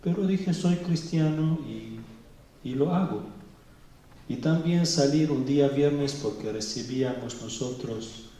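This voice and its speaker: A middle-aged man from Venezuela speaking slowly and thoughtfully at a public talk, pausing often. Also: medium pitch, dark tone. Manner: slow and thoughtful, with pauses and fillers. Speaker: Orthodox bishop giving a talk. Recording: echoey hall, mic far off.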